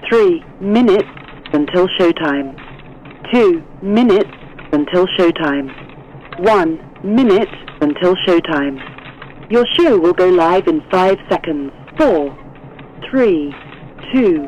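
Woman, automated announcer, slow flat delivery, mechanical, dull audio with faint hiss. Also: fairly low pitch.

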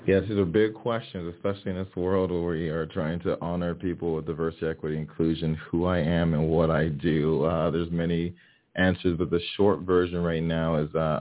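A man from Miami, Florida, speaking earnestly as a guest in a radio interview, often restarting his phrases. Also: extremely low pitch. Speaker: guest, DEI strategist. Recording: tinny phone line.

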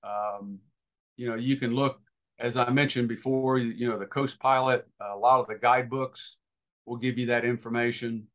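A man's voice: mid-range voice, fairly even intonation, normal speed, fairly bright tone. Male boating educator as interview guest: mid-range voice, practical, unscripted, hesitant, explains step by step. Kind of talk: informal explanatory interview answers.

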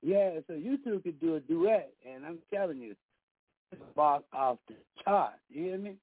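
A man, himself a radio host, calling in and hyping up the guest with emphatic, drawn-out praise. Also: a little high, lively intonation, breathy edge, no room sound. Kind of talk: casual radio chat. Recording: dry tinny phone line.

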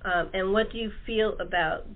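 A woman's voice: medium pitch, normal speed. A woman hosting a casual group interview over a narrow phone-like connection. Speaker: talk show host. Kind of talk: casual chat. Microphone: phone or internet call.

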